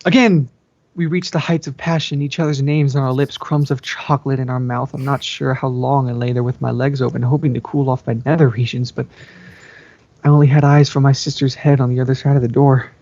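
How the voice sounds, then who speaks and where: A young man with a creaky voice reads fan fiction aloud in a playful, theatrical way. The recording is muffled. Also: medium pitch, steady tone, brisk, darkish tone. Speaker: amateur fan fiction reader. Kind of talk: dramatic amateur story reading. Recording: muffled, echoey home recording.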